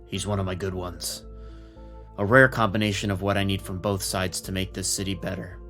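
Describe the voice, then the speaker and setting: A man playing a shrewd, gruff, plain-spoken police captain in a noir audio drama. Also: low-pitched, steady tone, fairly quick, a bit bright, faint music behind. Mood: frank, world-weary. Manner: plain-spoken, streetwise, in command.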